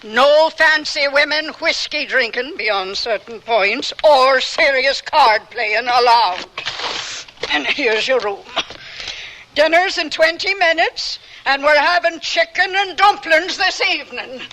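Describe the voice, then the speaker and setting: An actress playing a tall, bossy but kindly Irish landlady in a radio drama, brisk and garrulous. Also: high voice, bright, forceful tone, full character voice, rough recording. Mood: brisk, businesslike.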